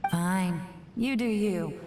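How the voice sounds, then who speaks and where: An actress playing a shrewd, confident investigator in a video game, wry and unruffled. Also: female voice, mid-range voice, takes it slowly, a little mellow, reverberant, natural voice. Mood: dismissive, wry. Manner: wry, unruffled, casually taunting. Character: cool, confident, shrewd female investigator cornering a culprit. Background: faint game music.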